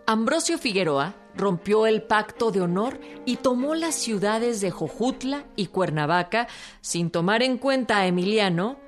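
A woman's voice: mid-range voice, lively intonation, a little slow. A woman with a Mexican accent reading scripted narration for a radio docudrama. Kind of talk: scripted documentary drama narration. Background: loud music with fiddle.

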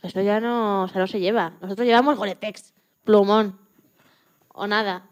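A woman from playing a lively, joking retired Antarctic scientist in her eighties in a radio interview, often restarting her sentences. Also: medium pitch, highs cut off, close to an everyday voice. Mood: matter-of-fact. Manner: chatty, joking, halting with restarts. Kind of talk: scripted mock radio interview.

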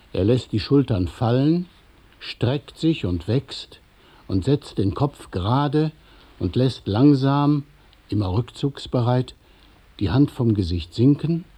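A man narrating a radio play from a script, describing each movement in a measured, precise and detached way. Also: mid-range voice, dark tone, breathy edge.

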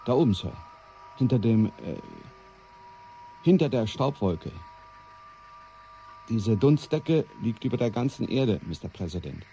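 Dark-toned deferential aide. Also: male voice, mid-range voice, sing-song, unhurried, pauses often, lo-fi sound. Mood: subdued, uneasy. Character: deferential male aide to the president. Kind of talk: 1980s radio drama acting. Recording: faintly noisy radio play track.

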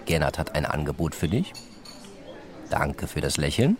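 An actor playing a coaxing, matter-of-fact police officer in a German radio drama. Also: male voice, very deep voice, big pitch swings, normal speed. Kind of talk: German radio play acting.

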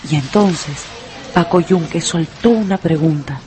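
A woman narrator telling a story for a radio drama in a measured, expressive way. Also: sits low, a little hiss or hum.

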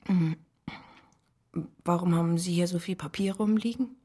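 An actress playing a sharp, ironic woman who asks dry, probing questions in a radio play. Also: on the low side, normal speed, husky.

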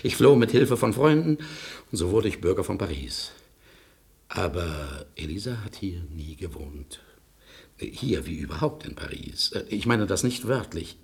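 Deep, brooding husband in a radio play. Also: male voice, very animated, unhurried, vocal fry, a little mellow, exaggerated voice. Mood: brooding, bitter recollection. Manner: brooding, emphatic, theatrical. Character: Severin Masur, grave émigré lawyer, haunted devoted husband. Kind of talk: 1950s German radio drama acting, dramatic narration.

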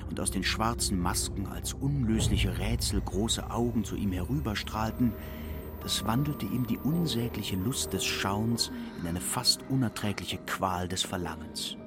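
A man's voice: on the low side, unhurried. A man narrating a literary radio play in a scripted style, with music behind his voice.

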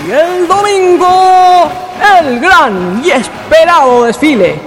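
A man's voice: very high-pitched, animated, slow, fairly bright tone, a faint crowd, exaggerated voice. A man playing a grandiose festival announcer, pompous and declamatory, in a radio drama. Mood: grand, festive. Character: grandiose town festival announcer addressing the people. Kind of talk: radio drama acting, proclamation. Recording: music under the voice.

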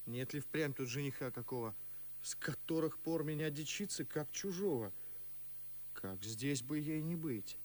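Jealous young nobleman muttering to himself, kinda high voice. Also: animated, measured pace, darkish tone. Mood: suspicious. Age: young adult. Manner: brooding, suspicious asides to himself. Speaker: actor. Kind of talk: classic radio play acting.

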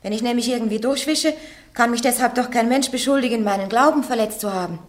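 A woman's voice: fairly high pitch, quick pace, lightly acted. An actress playing a blunt, fearless young peasant woman on trial in a radio play. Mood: defiant, indignant. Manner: blunt, defiant, plain-spoken.

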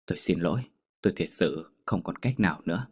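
A man's voice: deep voice, lively intonation, brisk, slightly rough, full character voice. A man voicing a weary village healer who speaks in a subdued, regretful way. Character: weary middle-aged village healer, apologetic.

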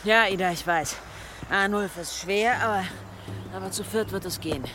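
A woman's voice: medium pitch, normal speed, noisy background, lightly acted. A woman playing a tough, grieving, practical widow with a blunt manner in an audio drama. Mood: resolute. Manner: resolute, blunt. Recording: clear music behind the voice.

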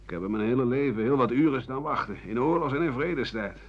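An actor playing a bitter, aging exiled writer-doctor in a radio drama monologue, sardonic and halting, often sinking to a husky mutter. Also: male voice, medium pitch, close to an everyday voice. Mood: grim, resigned. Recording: muffled 1980 radio broadcast.

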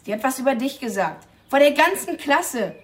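A man's voice: very high voice, expressive, normal speed, fairly bright tone. A young male actor playing a sullen, angry teenage schoolboy in a radio drama, with defiant, slangy talk. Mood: sullen, defensive. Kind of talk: German radio crime drama acting.

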